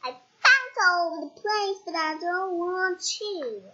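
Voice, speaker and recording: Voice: very high voice, expressive, slow pace, pushed and bright, muffled recording, pitched above the performer's own voice, heavily acted. Child putting on a squealing, bouncy little ball man. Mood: earnest. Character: small bouncy cartoon ball man, excitable, hapless.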